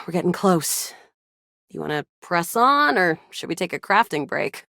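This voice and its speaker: A woman's voice: lowish voice, big pitch swings, a little slow, creaky, bright, forceful tone, no echo, clean studio sound, heavily acted. A woman voicing a cocky young female space soldier in a video game, brash, dramatic and self-assured. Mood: matter-of-fact. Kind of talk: video game character dialogue.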